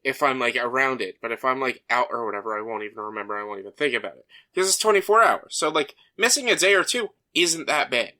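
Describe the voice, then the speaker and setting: A man with a mid-pitched, bright voice talks loosely on a podcast, leaning on fillers as he goes. He also runs through the agenda for the episode. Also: animated, quick pace, few pauses. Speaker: podcast co-host. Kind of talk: casual chat.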